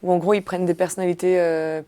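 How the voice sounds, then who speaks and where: Young woman comedian, chatty and halting, slightly low voice; interview guest. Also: little pitch movement, warm tone, smooth. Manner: chatty, hesitant, many fillers and restarts. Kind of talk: casual interview chat.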